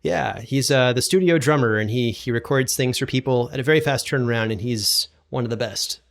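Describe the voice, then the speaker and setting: Guy being interviewed on a podcast, chatting casually, mid pitch, kinda stammers over his words. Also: fairly quick, vocal fry. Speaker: podcast guest, audio drama producer and sound designer.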